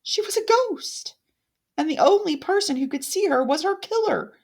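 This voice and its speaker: A woman reading a fairytale aloud as a storyteller, with deliberate, dramatic expression. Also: very high-pitched, animated.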